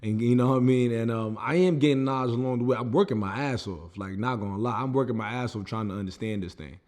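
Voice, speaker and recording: A young American man talking straight to camera about his own setbacks. His delivery is loose and conversational, full of fillers and restarts, at a mid-range pitch. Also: fast, darkish tone. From United States. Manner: loose, conversational, full of fillers like "you know what I mean". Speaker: vlogger. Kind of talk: casual personal storytelling.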